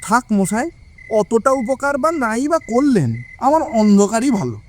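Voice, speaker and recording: A man's voice: very high-pitched, big pitch swings, unhurried, a little hiss or hum, exaggerated voice. An actor playing a fussy, timid, food-loving policeman with a grumbling manner in an audio story. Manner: grumbling, questioning, exclamatory.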